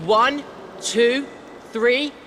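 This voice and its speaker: A woman's voice: on the high side, fairly even intonation, extremely slow, background noise. A woman playing an eager, bossy student club organiser in a game, emphatic and commanding. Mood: eager, instructing. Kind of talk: announcing and instructing, game cutscene.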